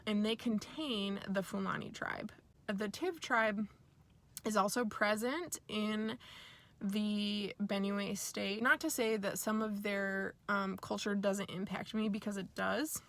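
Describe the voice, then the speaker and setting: A woman from Nigeria with American parents gives a reflective, personal spiritual talk in a deliberate way, pausing mid-phrase. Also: medium pitch, fairly bright tone. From born and raised in Nigeria to American parents. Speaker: speaker sharing personal origin story.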